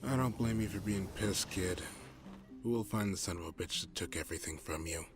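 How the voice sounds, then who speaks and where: Man acting a cynical detective, deep voice, gruff, condescending, determined, audio drama delivery. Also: brisk, over music, close to an everyday voice. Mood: gruffly reassuring. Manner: blunt, swearing, condescending.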